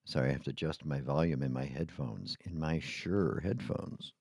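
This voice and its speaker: A man's voice: very deep voice, normal speed, a little mellow, husky, no room sound. A middle-aged man hosting his podcast, chatting casually and drifting off onto tangents in a small home studio. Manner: rambling, chatty, goes off on tangents. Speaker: podcast host. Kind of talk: casual rambling monologue. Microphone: studio microphone.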